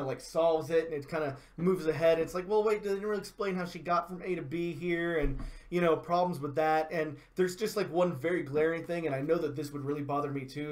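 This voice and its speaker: Man, somewhat higher-pitched, talk show co-host, informal review chat, conversational. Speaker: co-host of a video game and movie show.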